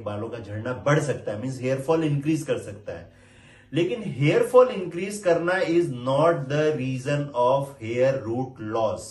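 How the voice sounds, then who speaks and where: A middle-aged man speaking, explaining medical causes of hair loss in a measured teaching manner. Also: medium pitch, a little mellow, recorded from a distance. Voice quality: mixes English medical terms into Hindi speech, measured explanatory manner. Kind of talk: educational talk. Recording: roomy sound, voice set back from the mic.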